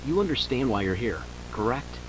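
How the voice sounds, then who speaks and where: Casting: man to play composed official investigator for horror audio drama, mid-pitched, formal questioning, noticeable hum and hiss. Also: sing-song, normal speed, lightly acted, poor audio. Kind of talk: acted interview in an analog horror audio drama.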